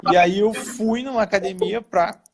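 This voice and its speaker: A man's voice: high voice, moderate pace, creaky, crowd noise. A man who works as a personal trainer, telling his story casually and acting out the dialogue. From Brazil. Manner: animated storyteller, acts out dialogue.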